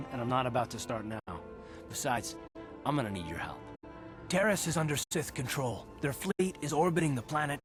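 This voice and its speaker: A man's voice: medium pitch, a bit bright, close to an everyday voice. An actor playing a resolute, weary male Republic soldier, speaking earnestly in a dramatic video game style, explaining with some urgency.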